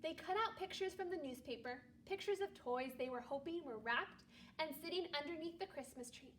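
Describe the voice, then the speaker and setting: A woman teacher reading a storybook aloud to young children, speaking to them directly in an animated way. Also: sits high, moderate pace, far from the mic, a little hiss or hum. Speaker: second grade teacher.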